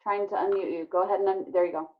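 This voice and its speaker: A woman's voice: mid-range voice, fairly even intonation, very fast. A woman teacher leading an online class discussion with children in an encouraging, affirming manner. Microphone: video call. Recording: dull, lo-fi video call sound.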